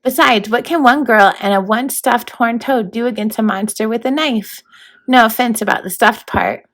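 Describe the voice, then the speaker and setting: A woman's voice: on the high side, moderate pace, a bit bright. A woman voicing a plucky, wry young schoolgirl heroine with a casual, questioning manner in a storybook read-aloud. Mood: sceptical, wry.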